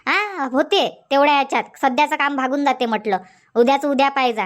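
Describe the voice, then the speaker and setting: A woman's voice: high voice, fairly quick, natural voice. A woman voicing a nagging middle-aged village farm wife in a comic cartoon, scolding. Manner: scolding, insistent, long rants. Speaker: cartoon voice actor.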